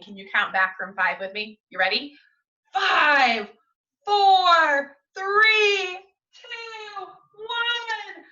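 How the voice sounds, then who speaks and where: A woman teacher reading a picture book to young children in an expressive, coaxing way, often turning to them with questions. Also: high-pitched. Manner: expressive, coaxing, asks frequent tag questions. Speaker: schoolteacher reading to young pupils. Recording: roomy echo, distant mic, muffled audio.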